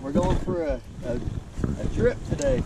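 Eager man guiding, loud wind noise. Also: on the high side, huge pitch swings, normal speed, vocal fry. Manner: upbeat, eager, showing the way. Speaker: fishing buddy, guide. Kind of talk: casual outdoor chat. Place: outdoors by a rocky creek. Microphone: picked up by another person's wearable camera.

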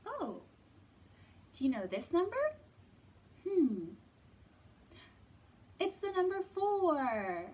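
A woman in her thirties presenting a children's storytime as a librarian, talking simply to small children with drawn-out words and thoughtful hums. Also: very high voice, sing-song, a little mellow. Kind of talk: children's storytime teaching, read-aloud. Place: indoors in a library.

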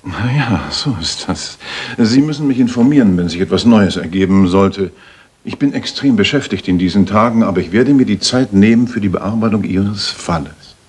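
An actor playing a brisk, self-assured master detective in a German radio drama, with precise, probing questions and a husky voice. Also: male voice, fairly low pitch, animated, darkish tone. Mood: brisk, deliberate.

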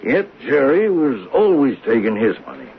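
An actor playing a thoughtful witness in 1970s radio drama style, musing and matter-of-fact. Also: male voice, mid-range voice, big pitch swings, normal speed, slight background noise. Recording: tinny band-limited 1970s radio recording.

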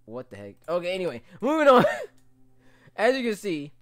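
A young American man giving casual, slangy reaction commentary in a joking, animated way. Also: high voice, normal speed. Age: young adult. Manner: jokey, animated, slangy, often laughing. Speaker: reaction video host. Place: small room, indoors. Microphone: desk condenser mic with pop filter.

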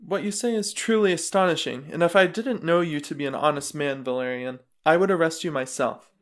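Guy doing skeptical roman brother in a radio play, slightly high voice, wary and questioning. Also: brisk, fairly bright tone, lightly acted. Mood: puzzled. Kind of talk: audio drama acting.

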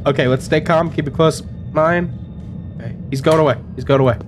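A man's voice: a little high, brisk, a little hiss or hum. A man with a cold hosting casual gameplay chat with friends, repeating short instructions to his teammates. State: sick. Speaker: gaming video host. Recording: game music behind voice.

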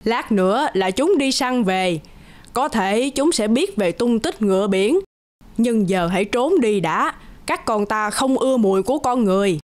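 Big old giant mom, bossy but kind, highish voice, music behind. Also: female voice, animated, unhurried, darkish tone. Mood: kindly, commanding. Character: huge old giantess mother, gruff but kindly.

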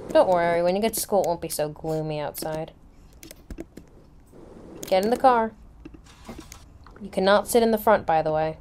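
Kindly schoolteacher, played by a woman, soft dark tone, gently reassuring, faint background noise. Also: lowish voice, normal speed, long pauses, close to an everyday voice. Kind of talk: amateur voiced roleplay.

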